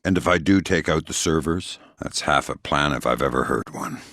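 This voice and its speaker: An actor playing a hardened, sardonic middle-aged covert operative in a video game, gruff and terse, with dry deadpan delivery. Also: male voice, a bass voice, normal speed, dry recording. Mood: businesslike, dry. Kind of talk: video game character dialogue.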